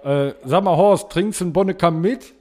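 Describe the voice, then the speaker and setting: A man's voice: sits high, brisk, darkish tone. A man co-hosting a podcast, chatting casually and telling everyday anecdotes. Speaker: podcast co-host.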